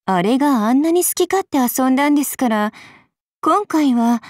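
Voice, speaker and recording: A woman's voice: sits high, lively intonation, normal speed, dry recording, clean studio sound. A woman voicing an aloof, languid adult demon goddess in an anime game, composed, polite and faintly sardonic. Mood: cool, musing. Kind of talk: Japanese anime game character lines.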